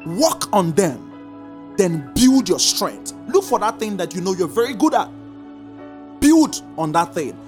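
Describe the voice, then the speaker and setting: A preacher teaching a congregation, stressing points in slow drawn-out repeated commands. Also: medium pitch, soft background music. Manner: lively sing-song emphasis, slow drawn-out repeated commands. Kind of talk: sermon teaching.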